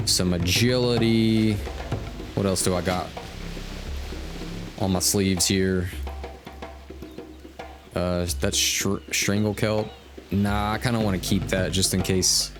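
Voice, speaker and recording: A man giving casual, laid-back gameplay commentary as he hosts a stream, thinking aloud and reading out quest text. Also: sits low. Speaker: game livestream host. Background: game music.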